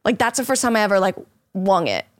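A woman's voice: mid-range voice, sing-song, quick pace, dry recording, studio quality. A young woman chatting casually on a podcast, rambling with lots of "like" and frequent laughter. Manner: rambling, heavy use of "like", laughs often. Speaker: podcast host, dancer. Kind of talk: casual chat.

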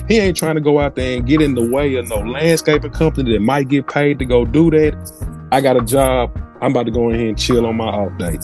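Slangy man with a mellow voice. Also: mid-range voice. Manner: slangy, acts out imagined quotes. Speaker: podcast panelist. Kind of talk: casual opinionated chat.

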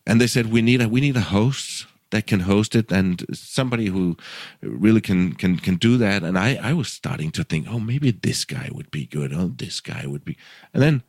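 A man's voice: fairly low pitch, moderate pace, no room sound, clean studio sound. A Danish man in his fifties, a radio producer and podcaster, telling his story as a guest with frequent restarts and repeated words, speaking English as a second language. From Denmark. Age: 50s. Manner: repeats words and restarts often, chuckles, animated when quoting himself. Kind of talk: casual interview storytelling.

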